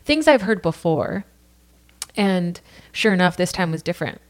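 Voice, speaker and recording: A woman's voice: on the low side, lively intonation, normal speed. A woman from California, a podcast guest telling her personal story in an earnest, casual way, full of "like" and "you know.".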